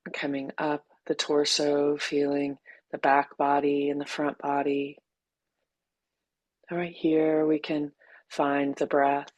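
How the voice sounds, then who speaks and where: A woman teacher leading a guided meditation in a gentle, unhurried way, leaving long pauses between short phrases. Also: sits low, completely flat delivery, no room sound, low-quality recording.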